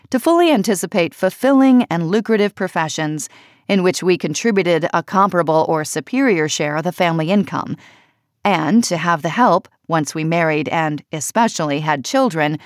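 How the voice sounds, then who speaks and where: A woman reading a personal essay as audiobook narration, in measured, expressive phrasing. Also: lowish voice, no echo, studio quality.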